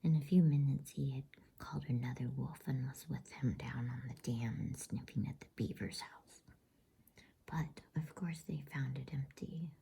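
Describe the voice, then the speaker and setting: A woman reading a bedtime story as ASMR in a hushed, soothing, unhurried whisper. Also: very deep voice, gravelly, slightly rough.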